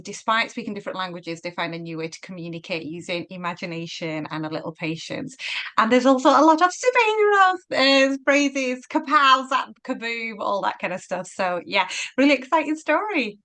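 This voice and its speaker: A bright, clear woman talks about her new book in a relaxed, chatty way. She laughs easily and pads her sentences with fillers as she tells the story behind it. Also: on the high side. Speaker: author. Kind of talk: casual virtual book launch chat.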